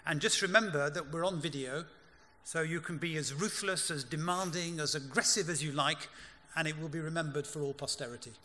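A man moderating a conference panel from a lectern, brisk and directive with a dry wry touch. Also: a little high, bright, forceful tone. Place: large conference hall stage. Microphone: lectern microphone. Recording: hall reverb, faint room hum.

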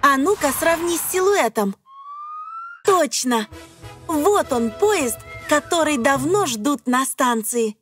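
A woman reading scripted educational narration for young children, phrasing deliberately and putting questions to the listener. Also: high-pitched, lively intonation, fairly bright tone. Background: music.

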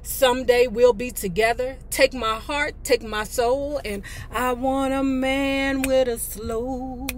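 A woman's voice: high-pitched, fairly even intonation, slow, hardly any pauses, slight background noise. An American woman in her fifties hosting a video, reading aloud and commenting in a blunt, sassy, casual way. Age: 50s. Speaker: video host. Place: inside a parked car.

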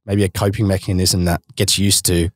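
A man in his thirties with an Australian accent, hosting a casual podcast interview and chatting matily with frequent laughs, recorded on a boom mic in a small studio. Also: level tone, moderate pace, no room sound. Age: 30s. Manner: chatty, laughs often, matey slang. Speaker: podcast host. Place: small studio room with couch. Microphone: boom-mounted podcast mic.

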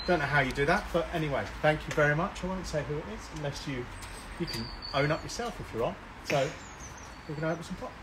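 A man's voice: fairly high pitch, expressive, fast talker. Sniffly man hosting a garden cook. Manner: chatty, jokey, rambling with ums. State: sniffly with a cold, coughing. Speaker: barbecue cooking host. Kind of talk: casual live cooking stream. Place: back garden outdoors, cold day. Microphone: phone camera held by another person. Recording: outdoor background noise. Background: outdoor ambience.

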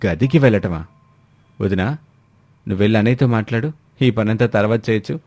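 A man's voice: lowish voice, a little fast, a little mellow, no room sound. A man voicing a concerned young brother-in-law, earnest and questioning, in a dramatized family story.

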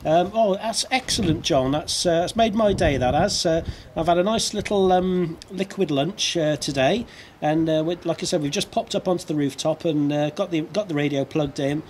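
A man's voice: on the high side, normal speed, darkish tone. A British man chatting casually as an amateur radio operator, with a hesitant, talkative delivery, recorded outdoors on a rooftop. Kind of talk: casual radio contact and outdoor video commentary. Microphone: video camera near the speaker. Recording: outdoor rooftop with clear background noise.

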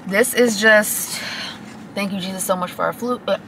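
A woman's voice: mid-range voice, moderate pace, noisy background. A woman of twenty chatting to her phone camera from a parked car, gushing and casual, often saying y'all. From Michigan. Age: 20s. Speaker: vlogger.

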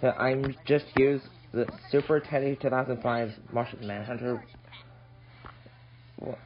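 A man hosting a casual hobby app tutorial, speaking haltingly and repeating his phrases. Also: medium pitch, steady tone. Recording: dull lo-fi audio with noise.

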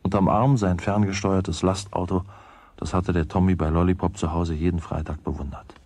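A man with a husky voice reading scripted storybook narration in a radio play. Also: low voice, flat delivery, normal speed, darkish tone, no room sound.

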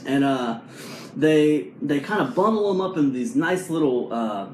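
A man in his forties telling a motivational story to camera in a casual, animated way, full of fillers and rhetorical questions, filmed with a phone at arm's length in a small room at home. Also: a little high, unhurried, slight background noise. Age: 40s. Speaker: vlog host. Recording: echoey room sound.

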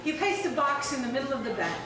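A middle-aged American woman reading her poems slowly at an open mic, with chatty asides between them. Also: high-pitched, lively intonation. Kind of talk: poetry reading. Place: small cafe stage at night. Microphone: stand mic, camera from across the room. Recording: echoey room, distant camera mic, crowd. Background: audience in the cafe.

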